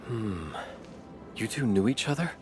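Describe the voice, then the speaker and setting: A man playing a calm, weary video game commander who speaks in an understated, questioning way. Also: fairly low pitch, unhurried, over music. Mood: puzzled.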